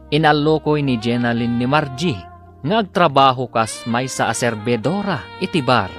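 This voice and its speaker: A man's voice: mid-range voice, a bit bright. A man with a Filipino accent giving dramatic scripted narration as a radio drama announcer.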